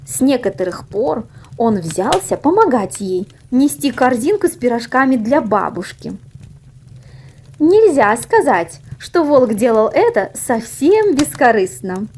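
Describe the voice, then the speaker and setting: High, animated, unhurried woman storyteller. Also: slight background noise. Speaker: audio fairy tale reader. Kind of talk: expressive scripted storybook narration.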